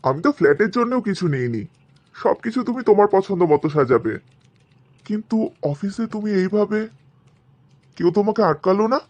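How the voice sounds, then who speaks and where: A man's voice: high-pitched, sing-song, a little fast, long pauses, a little mellow, dull audio. A man playing a devoted, flustered young office worker fiancé in a cartoon drama. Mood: flustered, eager.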